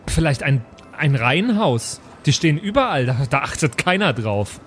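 Man acting a naive trusting adventurer, highish voice, swinging intonation, halting, earnest, tabletop game. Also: very animated, fairly bright tone, faint music behind. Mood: earnest, puzzled.

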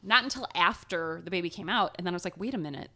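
A woman's voice: fairly low pitch, animated, fast talker, bright, forceful tone. A woman in her thirties with a nasal voice, co-hosting a podcast in casual, chatty talk and laughing often. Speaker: podcast co-host. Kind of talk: casual chat.

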